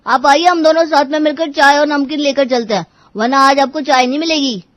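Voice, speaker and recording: A woman voicing a whiny, reluctant young boy who grumbles about errands in an animated moral story. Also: sits high, fairly quick, no room sound, lightly acted. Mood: indignant. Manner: grumbling, protesting.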